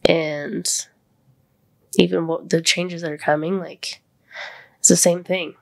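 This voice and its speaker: A woman hosting a podcast in casual, heartfelt chat. She is congested and her voice sits deeper than usual, and she talks openly and vulnerably, close to tears. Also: level tone, slow, gravelly, darkish tone. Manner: open and vulnerable, near tears. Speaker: podcast host.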